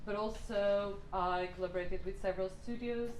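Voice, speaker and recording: A woman's voice: medium pitch, fairly even intonation, moderate pace. A woman in her thirties from Portugal, with a Portuguese accent, giving a guest talk. She speaks with frequent fillers and restarts. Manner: many fillers and restarts, slightly non-native phrasing. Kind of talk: hesitant lecture talk. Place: lecture room. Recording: roomy hall with faint noise.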